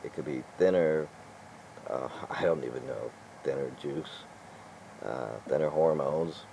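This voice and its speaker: A man's voice: rumbling low, a little slow, gravelly, mellow, slight background noise, poor audio. An older man talking casually in a vlog, rambling and exasperated, with chuckles and restarts along the way. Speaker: vlogger.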